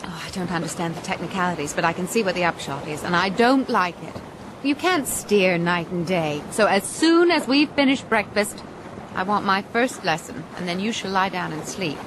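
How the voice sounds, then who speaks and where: An actress playing a spirited, determined young castaway woman in a radio drama, speaking politely in lively old-fashioned phrasing. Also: fairly low pitch, moderate pace, lightly acted. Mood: brisk, determined. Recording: noisy boat and water ambience behind.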